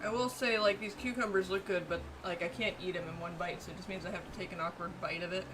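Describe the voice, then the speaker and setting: A woman's voice: fairly low pitch, quick pace. Dry-joking woman chatting while she eats. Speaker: co-host eating on stream. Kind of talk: casual chat. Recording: steady background noise.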